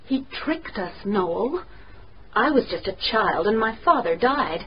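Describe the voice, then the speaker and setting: Actress as a frank, animated young woman. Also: medium pitch, unhurried, natural voice. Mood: confessing, earnest. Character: frank, self-possessed young woman heiress. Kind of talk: radio drama acting. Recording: muffled radio recording, faint noise.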